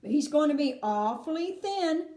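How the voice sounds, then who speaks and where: Eccentric inventor, woman performing: breezy, commanding storybook showman, lively swoops, echoey room. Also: sits high, moderate pace. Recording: roomy, echoing room sound.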